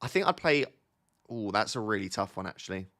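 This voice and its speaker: A man's voice: on the low side, big pitch swings, fairly quick, fairly bright tone. A man hosting a casual live stream, answering viewer chat. He has a slight cold and sounds a little sniffly, thinking aloud and often restarting his sentences.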